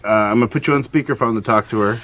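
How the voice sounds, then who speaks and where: A man's voice: on the low side, very fast, a bit bright, a little hiss or hum. A man chatting casually during a phone call in a recording studio, captured on an amateur video.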